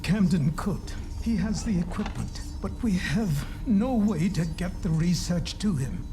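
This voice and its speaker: A man's voice: high voice, animated, measured pace. Absent-minded male scientist, middle-aged, polite, formal wording, hesitant, driven, game cutscene, music behind. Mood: excited, thoughtful. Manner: formal, hesitant, muttering to himself. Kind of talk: video game cutscene acting.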